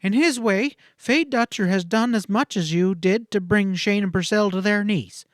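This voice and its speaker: A man voicing a scornful, quick-tempered young frontier woman in a western audiobook, speaking in emphatic, cutting outbursts. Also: sits high, dry recording, studio quality, pitched above the performer's own voice, close to an everyday voice. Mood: shocked, pleading.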